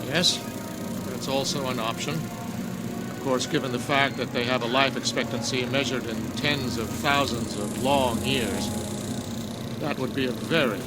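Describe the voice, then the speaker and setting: A man acting a grim senior scientist with a formal, sardonic and deliberate delivery, in dramatic radio play style. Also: medium pitch, fairly even intonation, loud music behind the voice, natural voice. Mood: grimly resigned.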